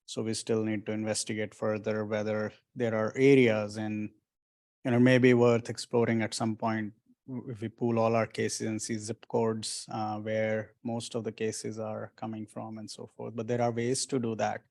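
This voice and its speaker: A man on a doctors' panel answers a question in a measured, careful way. His voice stays flat, with little rise and fall, and he fills his pauses with hesitations. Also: on the low side, creaky. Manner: measured, hedging, many fillers.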